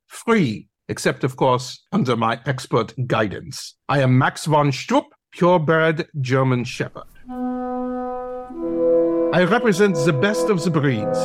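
Man playing a bossy, pompous German Shepherd instructor, measured. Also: a little high, no room sound, studio quality. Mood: grand, self-important. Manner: imperious, declamatory. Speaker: actor in an audio play. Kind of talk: theatrical audio drama acting.

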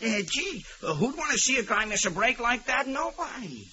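An actor playing a nervous, evasive convict informer who stammers in slang and feigns innocence in an old-time radio crime drama. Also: male voice, very high voice, sing-song, normal speed, exaggerated voice. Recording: bright but muffled old radio recording, noisy.

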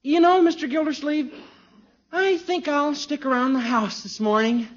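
A man's voice: very high-pitched, normal speed. An actor playing a nervous, polite young American expectant father in a 1950s radio comedy, earnest and hesitant. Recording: dull vintage radio recording.

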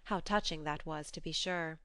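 Smooth, clear woman narrating an audiobook. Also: deep voice, moderate pace, fairly bright tone, dry recording. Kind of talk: expressive scripted storytelling.

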